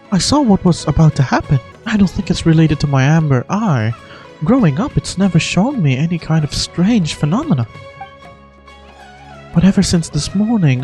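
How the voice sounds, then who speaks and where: A woman plays an earnest young desert guardian from a fantasy game. Her speech is measured, courteous and formal, with expressive pitch, over faint music. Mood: serious, puzzled. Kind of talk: amateur video game voice acting.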